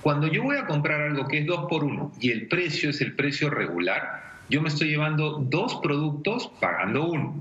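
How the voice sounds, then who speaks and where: A man's voice: fairly high pitch, lively intonation, moderate pace, a bit bright. A man in his forties with a Peruvian accent, giving didactic, conversational money advice as an expert on a video call. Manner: didactic, illustrates with everyday examples. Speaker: personal finance expert on a TV segment. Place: indoor room at home. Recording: dull, echoey video call.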